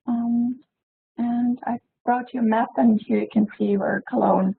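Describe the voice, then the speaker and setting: A woman's voice: a little high, fairly even intonation, unhurried, vocal fry, slight background noise. A woman from Germany, a library science student, politely introducing herself on a webinar with frequent fillers. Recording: phone-like webinar audio.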